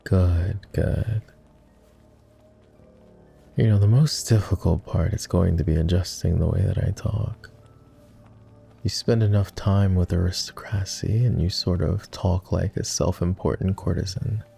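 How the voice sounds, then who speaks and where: A man playing a flirty, courtly vampire aristocrat in an intimate roleplay ASMR, with refined, formal, teasing speech in a low, gravelly murmur. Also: steady tone, a little slow, warm tone, soft background music, heavily acted. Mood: amused, casual. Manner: refined, formal diction with playful teasing and sighs.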